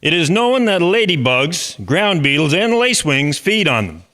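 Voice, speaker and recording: A man plays an earnest chairman addressing farmers in a historical drama. His voice is forceful and sharp, pitched a little high. He lays things out formally, like someone running a meeting. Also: big pitch swings, moderate pace. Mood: formal, instructive. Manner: formal, instructive, speechmaking. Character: earnest male chairman of a farmers' agricultural society.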